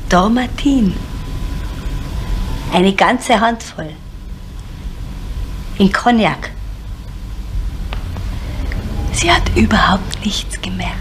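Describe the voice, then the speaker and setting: An actress playing a bitter, desperate middle-aged woman in a crime radio drama, halting and repeating words, sometimes dropping into whispers. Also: mid-range voice, natural voice. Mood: wounded, vengeful. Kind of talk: naturalistic German radio crime drama acting. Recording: noisy 1970s radio play recording.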